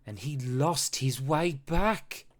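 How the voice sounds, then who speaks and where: A storybook narrator reads rhyming verse for children. The delivery is unhurried and full of dramatic swings, with expressive phrasing throughout. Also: mid-range voice. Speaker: storybook reader. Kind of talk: children's read-aloud narration in rhyme.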